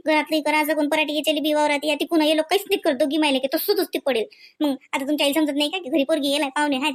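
A woman acts out a young village wife from a comedy cartoon, with a very high voice and a Varhadi Marathi accent. She rattles on quickly, aggrieved and exasperated, piling one complaint on another. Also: lightly acted.

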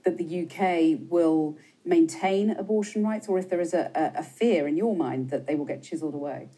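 A woman presenting the news and interviewing a guest with crisp, probing questions in a TV studio. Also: low voice, moderate pace, mellow, rough recording.

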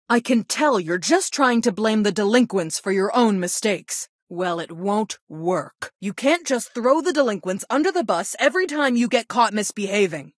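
A woman voicing a strict school guidance counselor in a video game, with a formal, measured, reproachful way of talking. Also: medium pitch, dry recording, studio quality, close to an everyday voice. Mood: indignant. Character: stern female school guidance counselor, adult, strict and disappointed. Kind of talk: video game voice acting, scolding lines.

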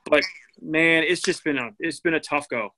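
Bright, forceful man, dry sound. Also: on the high side, normal speed, no room sound, rough recording. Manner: repeats words as he goes, emphatic. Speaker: bourbon brand team member. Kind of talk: casual video-call interview.

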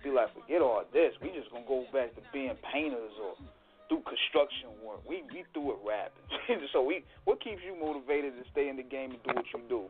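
A man's voice: medium pitch, expressive, fairly quick, faint music behind. A man hosting a casual radio interview, laid-back and joking as he asks questions. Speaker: radio host. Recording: tinny phone-line sound.

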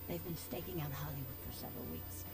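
Low-voiced sultry vampire seductress. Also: female voice, over loud music, low-quality recording. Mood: confiding, uneasy. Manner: slow, coy, flirtatious purring. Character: sultry seductive female vampire club owner. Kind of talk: video game character acting.

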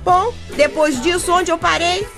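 An actress playing an outspoken, gossipy, exasperated wife with a Brazilian accent, narrating a radio soap in a chatty way. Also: female voice, very high-pitched, fairly even intonation, unhurried, gravelly, heavily acted. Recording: music playing behind the voice.

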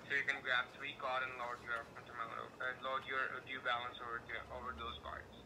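A man working as a phone agent, giving repetitive, halting instructions, heard through a speakerphone. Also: mid-range voice, level tone. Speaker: call centre phone agent. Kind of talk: phone customer service conversation. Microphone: phone speaker picked up by room camera. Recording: noisy phone call played over speakerphone.

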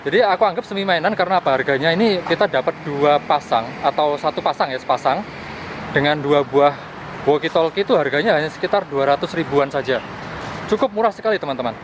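A man's voice: fairly high pitch, fast talker. A young man, explaining things casually and conversationally to camera as a gadget reviewer. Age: young adult. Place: outdoors in a street and park. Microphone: handheld camera at arm's length. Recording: clear outdoor street and vehicle noise.

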